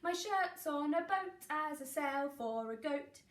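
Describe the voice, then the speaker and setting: A kind, cheerful giant, as a woman reads him, bouncing through sing-song rhymes in a high voice. It is slow and unhurried, in an echoey room away from the mic. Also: lively intonation, far from the mic.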